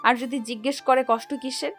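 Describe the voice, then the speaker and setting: A sweet, vulnerable young woman acted by a woman in a romantic audio story. Her voice is high and soft, with a hesitant, questioning way of speaking, over light music. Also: fairly even intonation, a little fast. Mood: hesitant, curious. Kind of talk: Bengali audio story acting.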